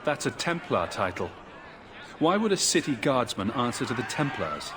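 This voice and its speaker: Earnest male game hero acted, polite, direct, inquiring, lively intonation, clear noise behind. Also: medium pitch, moderate pace, natural voice. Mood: questioning. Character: earnest, questioning young refugee mage hero. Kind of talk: video game character acting. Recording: clear background noise, outdoor ambience.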